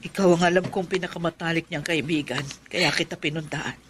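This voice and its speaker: Radio horror villain: a man as a widowed old sorceress, menacing and cold, raised pitch, hissing noise in the recording. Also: steady tone, fairly quick, natural voice. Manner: menacing, threatening, sinister. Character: vengeful widowed old woman, a bee-wielding sorceress. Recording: noisy radio drama track. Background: buzzing bees.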